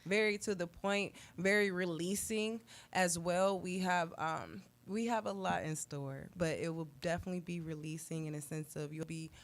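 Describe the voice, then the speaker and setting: Low-voiced woman urging listeners on air. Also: steady tone, normal speed, few pauses. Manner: emphatic, list-like repetition and direct urging. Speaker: community organizer and radio guest. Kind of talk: spontaneous on-air event announcement.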